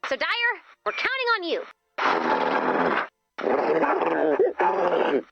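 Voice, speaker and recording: A woman's voice: very high voice, very animated, fairly quick, dull audio, loud music behind the voice. A woman playing a peppy, encouraging young mission leader in a video game, chatty and coaxing. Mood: planning. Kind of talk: video game character voice acting.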